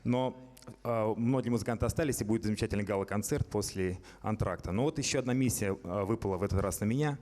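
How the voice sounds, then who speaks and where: A man's voice: fairly low pitch, a little mellow. A man speaking at a festival concert, presenting gifts to guests and rambling with many hesitations. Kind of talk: stage speech. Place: concert hall stage. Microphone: stage microphone. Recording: hall reverb.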